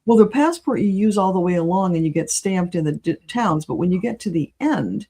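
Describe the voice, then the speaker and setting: A woman talks as an interview guest in an easygoing, conversational way. Her voice sits a little low for a woman and has a very soft, mellow tone. She sounds like someone chatting informally. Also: animated, fairly quick, husky. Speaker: guest, spiritual channeler and reader.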